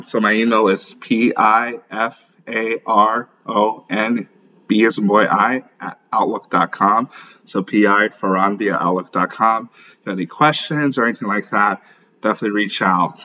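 Low-voiced man, dull lo-fi audio. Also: fairly even intonation, moderate pace, bright, forceful tone. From born in Lagos, Nigeria; grew up in St. Louis, USA. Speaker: podcast host. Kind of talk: casual solo podcast introduction. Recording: dull, muffled lo-fi podcast audio.

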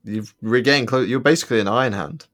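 A man's voice: mid-range voice, fast talker, fairly bright tone. A man co-hosting a podcast in casual chat, rambling with many ums and restarts and slipping in jokes. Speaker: podcast co-host.

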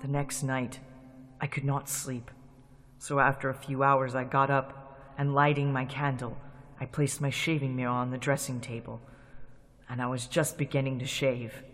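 A man's voice: medium pitch, flat delivery, moderate pace. A man narrating a classic radio drama in a tense, dramatic storytelling manner. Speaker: narrator and lead actor. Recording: loud music under the voice.

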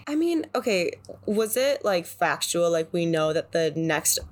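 A woman's voice: on the low side, sing-song, a little slow. A woman co-hosting a casual podcast chat, thinking aloud and often murmuring agreement. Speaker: podcast co-host.

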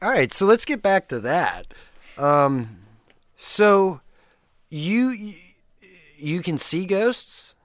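A man's voice: high-pitched, very animated, takes it slowly, pauses often, a bit bright, no room sound. A man hosting a podcast, crude and joking, laughing often in casual chat. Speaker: podcast host.

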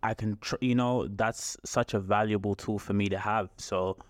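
A young man in casual conversation, asking questions and thinking out loud. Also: lowish voice, fairly even intonation, normal speed, no echo, studio quality. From Australia. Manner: questioning, reflective. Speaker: podcast co-host, son.

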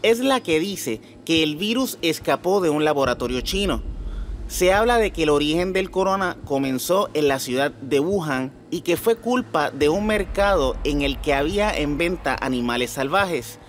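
A man's voice: a little high, lively intonation, moderate pace, slight background noise. A man presenting scripted podcast commentary as host, putting rhetorical questions to his listeners. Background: background music.

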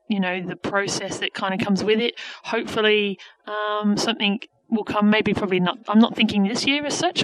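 A woman in her late twenties from England, with an English accent softened by Australian traces, chatting frankly as a footballer being interviewed. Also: mid-range voice, slight background noise, low-quality recording. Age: 20s. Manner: chatty, frank, many fillers and restarts. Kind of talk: casual interview answers.

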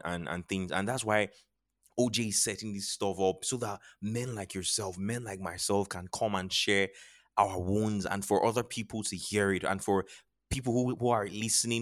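A Nigerian man speaks as an interview guest with a lowish voice. His delivery is earnest and motivational. He often restates a phrase to press the point. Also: a bit bright. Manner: earnest, repeats phrases for emphasis. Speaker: podcast guest, charity founder.

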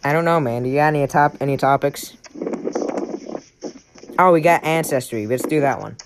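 A man's voice: on the high side, normal speed. Rambling, jokey young man with a Maine accent. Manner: rambling, jokey, laughs often. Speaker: podcast host. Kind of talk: casual chat among friends. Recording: noisy home recording.

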